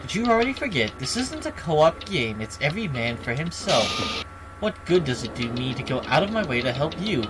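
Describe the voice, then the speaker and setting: A man's voice: a little high, sing-song, fairly quick. A man voicing an arrogant, cold young male student who lectures others with haughty scorn, for a video game. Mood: cold disdain. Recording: loud music behind.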